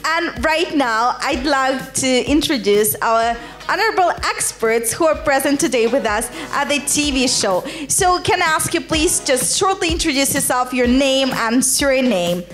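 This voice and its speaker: High-pitched woman presenting on stage. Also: expressive, a little slow, few pauses, fairly bright tone, soft background music. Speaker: co-host of a startup TV show. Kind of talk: formal event presenting. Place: stage of a live televised forum with audience.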